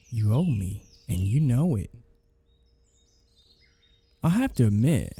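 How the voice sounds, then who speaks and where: Casting: male actor as sardonic, flirty vampire for ASMR roleplay, unhurried husky delivery with swooping, lively inflection. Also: medium pitch, full character voice. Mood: smug, flirtatious. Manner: teasing, sarcastic, swearing banter. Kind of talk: ASMR roleplay audio drama, one side of dialogue. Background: birdsong, woodland sound effects.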